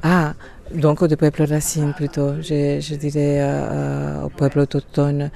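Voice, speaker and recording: A middle-aged woman from Colombia, speaking as an interview guest, hesitant with many pauses and fillers. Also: sits low, monotone, vocal fry, dark tone. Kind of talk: interview conversation.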